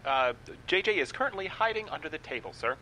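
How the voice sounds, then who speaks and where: An actor playing a polite small-town morning radio host in a comic radio show. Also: male voice, a little high, lively intonation, fast talker, bright, forceful tone, low-quality recording. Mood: polite, hesitant. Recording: faint background noise.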